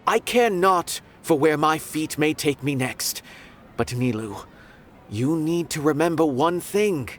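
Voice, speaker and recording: An actor playing an eloquent, philosophical retired art connoisseur in a game, speaking in a lofty, verbose, theatrical way. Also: male voice, on the high side, expressive, takes it slowly, a bit bright, slight background noise. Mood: earnest, encouraging. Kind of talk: scripted game character dialogue.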